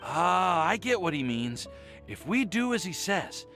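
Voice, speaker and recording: A man's voice: sits high, big pitch swings, a little slow, fairly bright tone, faint music behind, heavily acted. A man voicing an earnest, timid, boyish young man in a video game, eager and a little hesitant.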